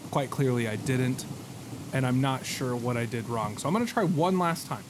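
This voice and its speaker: A man's voice: mid-range voice, normal speed, background noise. A man giving casual let's-play commentary, thinking aloud in a rambling way with self-deprecating jokes. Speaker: video game streamer. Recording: music behind the voice. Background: game music.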